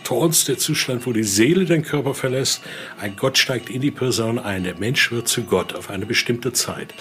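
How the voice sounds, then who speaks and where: A man's voice: mid-range voice, normal speed, a bit bright. A man giving an informal guided tour, explaining things and often adding small tag questions. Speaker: museum guide and voodoo expert. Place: indoor exhibition room. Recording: faint background noise on location.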